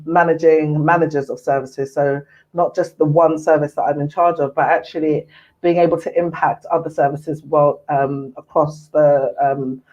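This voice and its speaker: A woman's voice: low voice, warm tone. A woman in her forties from Ghana, a mental health services manager who speaks in an earnest, reflective way as an interview guest over a video call. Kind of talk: casual interview chat. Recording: muffled lo-fi audio.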